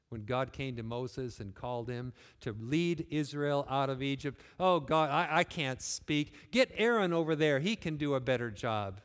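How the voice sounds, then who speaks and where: A man from West Michigan preaching a sermon in a church, earnest, pushing phrases up and then letting them fall quiet. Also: fairly high pitch. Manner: earnest, rising and falling emphasis, quiet closing phrases. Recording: long hall reverb.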